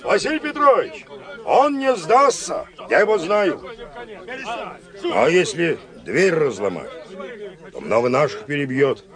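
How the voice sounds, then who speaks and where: A man playing a rough Cossack captain in a radio drama, gravelly and folksy, pleading and hollering in exasperation. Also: on the high side, sing-song, unhurried, pauses often. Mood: earnest advising. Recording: crowd and music behind the voice.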